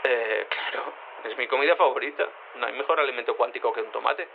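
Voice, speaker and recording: Male actor for audio-drama cat in human shape, vain yet naive, halting casual talk, gravelly edge, noisy backdrop. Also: mid-range voice, narrow, tinny audio, lightly acted. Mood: eager, naive. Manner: hesitant, rambling, self-contradicting, casual. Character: quantum cat turned bearded human, rambling, naive, vain. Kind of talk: fictional news interview in an audio drama.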